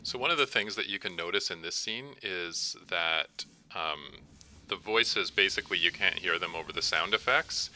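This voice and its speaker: A man talking casually as he hosts a screen-recorded software tutorial. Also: deep voice, animated, normal speed. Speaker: tutorial host, game developer.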